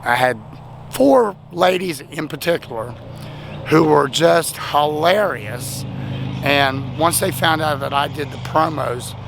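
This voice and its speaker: A hoarse man, his voice worn gravelly, rambles cheerfully into his phone at a highway rest stop. His voice sits a touch high for a man, and steady traffic noise runs loudly behind him. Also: slow pace. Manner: rambling, chatty, self-deprecating. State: voice nearly lost, hoarse. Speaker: vlogger. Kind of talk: casual thank-you vlog.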